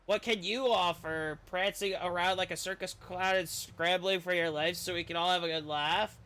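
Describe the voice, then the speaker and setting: A man's voice: high-pitched, steady tone, moderate pace, sharp tone, smooth. A man voicing a scornful arena onlooker in a video game, mocking and full of disdain. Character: scornful arena onlooker sneering at a village girl.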